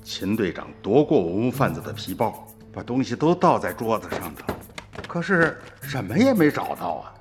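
A man's voice: fairly low pitch, very animated, normal speed. A man playing an old peasant grandfather in a radio drama, earthy and colloquial, emotional in his storytelling. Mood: recounting. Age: older. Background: music.

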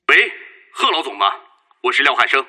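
An actor playing an earnest, worried Red Army political commissar in a formal, emphatic radio drama style. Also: male voice, medium pitch, lively intonation, a little fast, pushed and bright. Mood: urgent, respectful. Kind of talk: Chinese radio drama acting. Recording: echoey hall reverb, music underneath.

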